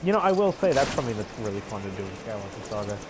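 A young man chatting casually as he streams a game, rambling and halting in an amused way. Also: fairly low pitch, sing-song, noisy background. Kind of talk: casual live gameplay chat. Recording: loud game music and sounds behind. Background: video game music and effects.

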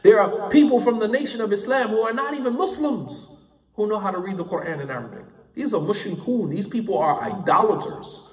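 A man's voice: high-pitched, lively intonation, brisk, reverberant, in a large hall, recorded from a distance. A man whose first language is English, teaching an Islamic studies class in an emphatic, impassioned way and repeating points for stress. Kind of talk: classroom lecture.

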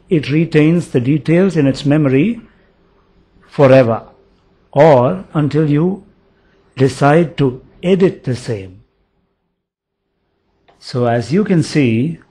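Guy doing a voice-over for a product demo, slow and step by step, slightly high voice. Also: darkish tone, breathy edge. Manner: slow, measured step-by-step explaining.

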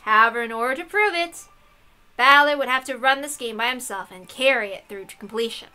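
A woman host reading a visual novel aloud, mixing dramatic narration with excitable, irreverent, sweary asides. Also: a little high, fairly quick, clean tone.

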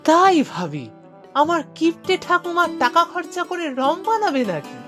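Excitable young grandson in a cartoon; high, lively, childlike. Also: female voice, moderate pace, over music, heavily acted. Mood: excited. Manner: eager, childlike, exclaiming. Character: cheeky young boy grandson. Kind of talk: Bengali cartoon voice acting.

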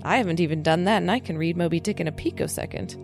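A woman voicing a dry, boastful computer intelligence in an audio drama, brisk, skeptical and deadpan. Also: lowish voice, over music, natural voice.

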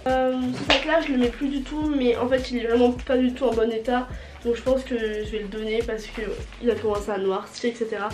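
A woman's voice: on the high side, fairly even intonation, a little fast. A young woman with a cold and a nasal voice, chatting casually in a fashion vlog and repeating words for emphasis, filmed by a fixed camera in her bedroom. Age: young adult. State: congested from a cold. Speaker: vlogger. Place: bedroom with a closet, indoors. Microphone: fixed camera at table distance. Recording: roomy indoor sound with faint music.

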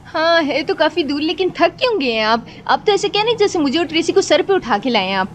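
A woman voicing a fast-talking, scolding wife. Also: sits high, very animated, slight background noise. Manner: scolding, teasing. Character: sharp-tongued wife, quick to scold. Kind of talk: fan game dub.

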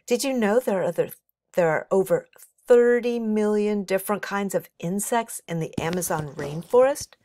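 A bright schoolgirl from a storybook, earnest and keen to explain, as a woman reader plays her. The voice is recorded dry and close. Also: mid-range voice, normal speed, no echo, pitched above the performer's own voice, close to an everyday voice. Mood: enthusiastic.

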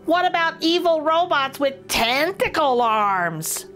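A middle-aged woman hosting a children's storytime, reading a picture book aloud with expressive, exaggerated intonation. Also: high-pitched, slow pace, faint music behind. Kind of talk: animated read-aloud for young children.

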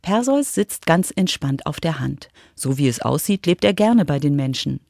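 A woman's voice: deep voice, expressive, moderate pace, gravelly, darkish tone, no room sound, studio quality. A woman reporter reading scripted narration for a children's radio feature.

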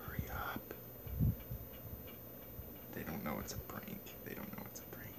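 A young man talking casually and a bit haltingly on a homemade prank-call video shot indoors on a handheld phone. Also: in a whisper. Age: young adult. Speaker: prank caller and video maker. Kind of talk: casual phone prank talk with muttered asides. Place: small bedroom, indoors. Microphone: phone at arm's length.

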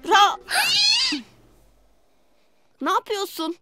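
A woman's voice: very high-pitched, steady tone, extremely slow, exaggerated voice. A woman voicing a sensible, kindly young boy, an elder brother in a dubbed children's cartoon, childlike and patient. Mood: correcting. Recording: background music under the voice.

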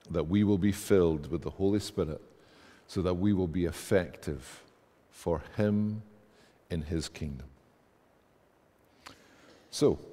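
Scottish guy preaching, low mellow voice, long church echo. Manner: reads scripture aloud with rising emphasis. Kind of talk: sermon. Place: large church sanctuary. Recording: church hall reverb.

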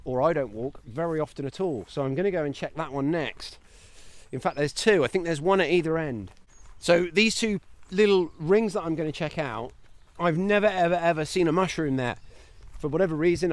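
A man's voice: on the high side, no echo. A man in his thirties vlogging outdoors while walking a grassy paddock, chatty and enthusiastic as he points things out. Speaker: homesteading channel host. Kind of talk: walking vlog, mushroom foraging tips. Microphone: phone held at arm's length.

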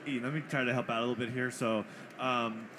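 A man's voice: medium pitch, fairly even intonation, quick pace. A man speaking casually and cheerfully on a conference panel about an online learning community. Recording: noisy conference stream.

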